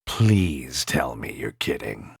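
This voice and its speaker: A man voicing a young male workshop boss in a visual novel, exasperated but chummy and informal, gravelly and husky. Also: rumbling low, sing-song, slow pace, no room sound, clean studio sound, pitched below the performer's own voice, exaggerated voice. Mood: weary.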